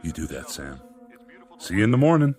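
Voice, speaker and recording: An actor playing a kindly, considerate family man in a radio drama, gentle and solicitous. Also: deep voice, big pitch swings, brisk, husky, soft background music, heavily acted. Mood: kindly, concerned.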